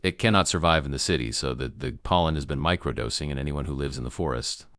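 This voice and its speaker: A man playing a grave emergency news broadcaster in an amateur apocalypse broadcast, gravelly, solemn and halting in his delivery. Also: very deep voice, a bit bright, dry recording, clean studio sound. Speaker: news anchor.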